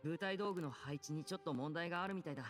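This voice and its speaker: A man's voice: on the high side, very fast, fairly bright tone, close to an everyday voice. An actor playing a busy, polite young male stage magician in Japanese video game voice acting. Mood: politely hurried. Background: video game music.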